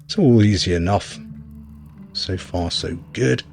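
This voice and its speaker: A man's voice: low voice, measured pace, darkish tone, soft background music. A man giving casual gameplay commentary as a reviewer, often hesitant and thinking aloud. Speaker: video game reviewer.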